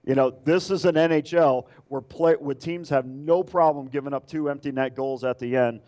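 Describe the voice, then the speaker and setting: A man who works as a radio sports analyst, giving measured casual betting analysis with many fillers. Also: a little high, steady tone, a little mellow.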